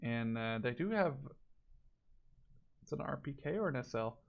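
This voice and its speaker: A man's voice: mid-range voice, animated, normal speed, gravelly, lo-fi sound. A man giving casual live commentary on a video game match, thinking aloud as he watches.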